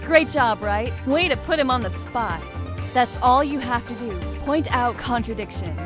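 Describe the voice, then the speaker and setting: A woman's voice: a little high, animated, moderate pace, natural voice. A woman playing a confident senior lawyer who firmly coaches a rookie in a courtroom game fan dub. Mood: approving. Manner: assured, coaching, firm. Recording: loud music under the voice.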